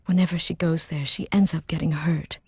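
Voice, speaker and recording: A woman voicing a gentle, worried mother in a video game, tender and fretful. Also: deep voice, steady tone, brisk, breathy edge, dry recording, heavily acted. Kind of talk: video game cutscene voice acting.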